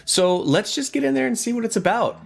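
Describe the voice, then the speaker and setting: A man's voice: extremely high pitch, brisk, vocal fry. A man giving casual let's play commentary, thinking aloud in an informal way and sometimes reading game text. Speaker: gaming video host. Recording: music behind the voice. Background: guitar game music.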